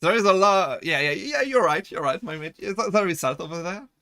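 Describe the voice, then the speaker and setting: A man's voice: sits high, animated, fairly quick, sharp tone, dry recording, clean studio sound. A young man from France with a French accent, chatting casually with his live-stream chat, laughing often and restarting his sentences as he jokes. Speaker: VTuber streamer.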